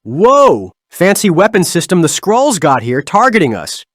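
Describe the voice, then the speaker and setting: Man as high-pitched, cocky wisecracking young hero. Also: wildly swooping pitch, unhurried, a bit bright, dry recording, clean studio sound, full character voice. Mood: playful, impressed. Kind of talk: video game hero voice acting.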